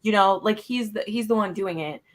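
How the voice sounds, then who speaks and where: A woman chatting casually about books as a panelist, animated and informal, acting out her own reactions. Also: mid-range voice, brisk. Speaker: book club panelist.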